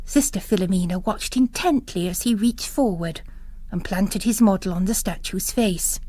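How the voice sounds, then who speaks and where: A woman's voice: mid-range voice, moderate pace, dark tone. A woman reading literary fiction as a narrator, in a dramatic audiobook style.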